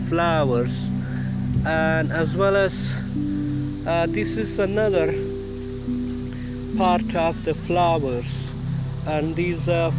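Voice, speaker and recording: A man from Afghanistan talks slowly and haltingly as he films a park on his phone, with frequent pauses and fillers. His voice sits a little high for a man. Loud music plays under his speech throughout. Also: poor audio. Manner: hesitant, many "uh" fillers. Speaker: vlogger. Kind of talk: casual walking tour vlog. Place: outdoor park. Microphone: phone held while walking.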